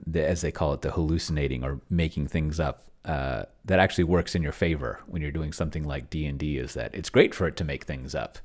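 A middle-aged man giving casual think-aloud commentary at his computer in a halting, murmuring way, close to a desk microphone. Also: low-pitched. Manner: halting, murmuring to himself while typing and reading. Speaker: host, solo roleplaying player. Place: small room at home, indoors. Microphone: desk microphone close to the mouth.

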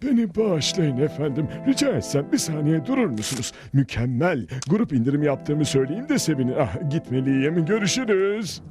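A fussily polite cartoon tradesman. His voice is fairly high and animated, with courteous sales patter over music. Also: normal speed, hardly any pauses. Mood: polite, businesslike. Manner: courteous, businesslike. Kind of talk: Turkish cartoon dub. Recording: music behind the voice.

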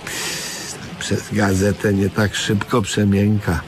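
Slow-talking, grumbling simpleton, noisy recording. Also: male voice, fairly low pitch. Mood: deadpan, bored. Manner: deadpan, naive, contrary. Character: dim, stubborn, grumbling simple man bored at home. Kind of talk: comedic radio play acting. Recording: noisy old radio recording.

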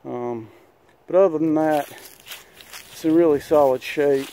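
Man, mellow tone, rambling casual vlog, phone mic, garage. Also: on the high side, unhurried, slight background noise. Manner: easygoing, rambling, many fillers. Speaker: vlogger and mechanic. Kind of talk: casual walkaround narration. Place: garage and wooded yard.

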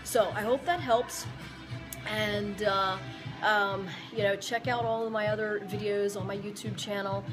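A woman's voice: mid-range voice, fairly even intonation, measured pace, music in the background. A woman in her forties who owns a gym, giving casual fitness advice to the camera in a rambling way, full of fillers and restarts, recorded indoors in her gym. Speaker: gym owner and fitness coach. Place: indoor gym among weight machines. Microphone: camera or phone at close range.

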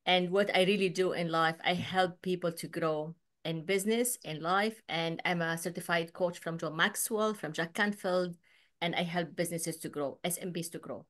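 A woman's voice: lowish voice, normal speed. A middle-aged woman from Syria, a native Arabic speaker, introducing herself informally on a video call. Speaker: club guest, business coach.

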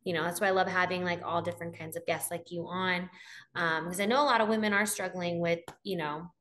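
A woman in her thirties hosts a podcast from home, speaking into a boom-arm studio microphone. Her voice sits a little low, and she chats in an encouraging, agreeable way. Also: steady tone, fairly quick, a bit bright, a little hiss or hum. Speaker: podcast host. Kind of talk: casual interview. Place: home room indoors.

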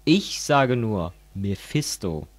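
Middle-aged guy from east germany, kinda low voice, measured scripted political chat. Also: big pitch swings. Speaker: dialogue partner in a political audio piece.

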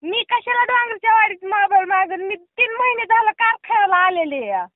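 High-voiced woman on a tinny phone line. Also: lively intonation, normal speed, no room sound. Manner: amused, suspicious, rustic. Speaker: prank call target. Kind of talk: phone conversation. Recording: tinny phone call recording.

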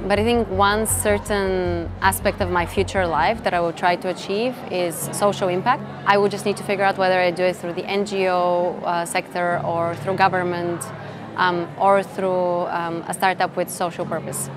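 A woman in her thirties from Bulgaria giving measured, reflective interview answers with some fillers and restarts. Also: lowish voice, flat delivery. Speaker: interviewee, tech executive. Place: event interview set, indoors. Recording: music bed behind voice.

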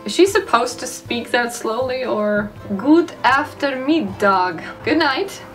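A woman's voice: a little high, lively intonation, a bit bright, far from the mic, soft background music. A young German woman in her twenties with a German accent, chatting casually in a bedroom vlog and sounding out foreign words. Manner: chatty, self-mocking, sounds out words slowly. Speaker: YouTuber. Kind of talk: casual language-learning vlog. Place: bedroom, indoors. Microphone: studio mic off camera.

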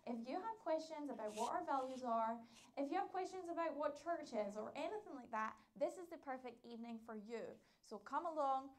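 A young woman with a very high voice delivers announcements to camera. She is enthusiastic and emphatic, with a chatty, scripted flow. She is recorded indoors on a lapel mic. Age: young adult. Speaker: church announcements presenter. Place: indoor studio set with dark backdrop.

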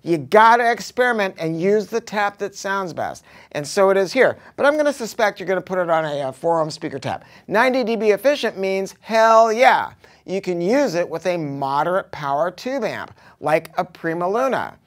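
An American man in his sixties giving a casual, unscripted product pitch as a hi-fi dealer, with an animated, emphatic, slangy delivery. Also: moderate pace. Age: 60s. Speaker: hi-fi dealer presenting a product review. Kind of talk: casual unscripted sales talk. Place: carpeted audio showroom with curtains and acoustic panels.

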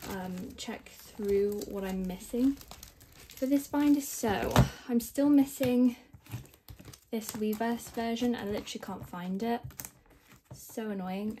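A young woman whose voice is still croaky from screaming at a concert, talking in a loose, chatty, rambling way. She thinks out loud and makes little self-deprecating asides while she works at a table. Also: mid-range voice, a little hiss or hum. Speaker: k-pop collector vlogger. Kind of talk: casual rambling narration over binder organizing. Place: indoors at a table, filming the binder from above.

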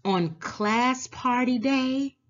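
A woman reading a children's storybook aloud in a deliberate, animated manner, drawing out words and pausing. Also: sits high, lo-fi sound. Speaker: children's book read-aloud host. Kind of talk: expressive storybook read-aloud for young children.

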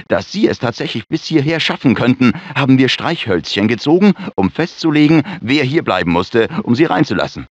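A man's voice: lowish voice, no echo. A male actor playing an anxious, fussy research scientist in a dubbed video game, speaking formally and precisely with nervous urgency. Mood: explanatory. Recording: dull, lo-fi game audio clips.

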